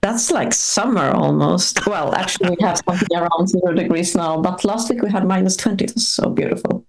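A woman's voice: on the low side, a little fast, creaky, a crowd in the background, poor audio. A young woman, not a native English speaker, answering interview questions as a researcher, hesitant with many uhs. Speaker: guest astrophysicist.

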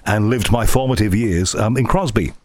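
A man from Liverpool with a Liverpool accent, hosting a conversational interview and chatting with quick, reminiscing asides. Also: fairly low pitch, a crowd in the background. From England (Liverpool). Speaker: podcast host and broadcaster.

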